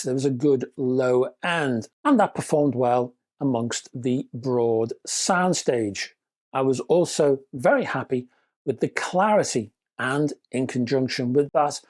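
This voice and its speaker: A man presenting a scripted product review, speaking in measured, deliberate phrases with chatty, whimsical turns. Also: a little high, a little mellow. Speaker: reviewer and presenter of an audio gear channel.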